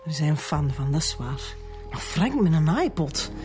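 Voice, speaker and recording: A woman's voice: low voice, big pitch swings, unhurried, a little mellow, faint music behind. A woman playing a distressed, protesting murder suspect in a radio crime drama, speaking with a Flemish accent. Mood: disbelieving. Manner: pleading, protesting. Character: distressed, pleading female murder suspect defending her boyfriend. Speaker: actor. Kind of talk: radio police drama acting.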